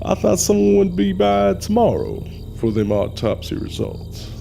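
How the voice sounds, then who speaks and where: Gravel-edged, animated Southern gentleman mortician. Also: high-pitched, exaggerated voice. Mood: courteous. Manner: courteous, hesitant, drawn-out folksy phrasing. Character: folksy Southern mortician who acts as medium to the dead. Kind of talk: audio drama acting. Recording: music under the voice.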